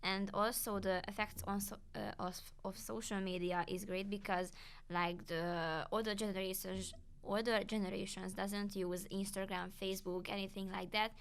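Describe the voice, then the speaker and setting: A woman in a student podcast, reading a prepared segment in a hesitant way, with filler pauses. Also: mid-range voice, flat delivery, clean tone.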